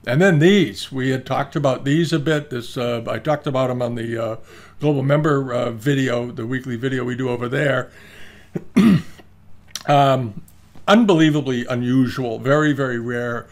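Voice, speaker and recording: A man's voice: medium pitch, moderate pace. Older man chatting through auction results. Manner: rambling, many fillers and restarts. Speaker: antiques auction commentator. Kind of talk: casual video commentary. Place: indoor room, screen-share with webcam inset.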